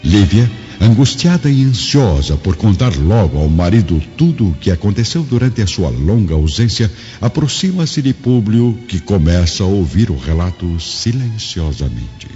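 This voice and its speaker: A Brazilian man reads scripted literary drama in a deep voice. His delivery is solemn, with wide swings of intonation. The recording sounds slightly dull, with music playing behind him. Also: very animated, normal speed, few pauses, warm tone. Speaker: narrator. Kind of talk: scripted dramatic audiobook narration. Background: background music.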